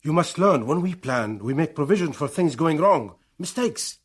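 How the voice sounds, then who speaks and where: An actor playing a stern, self-assured militant leader in a radio drama, speaking in authoritative, clipped declarations with a husky voice. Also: male voice, on the high side, animated, normal speed. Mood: stern, lecturing.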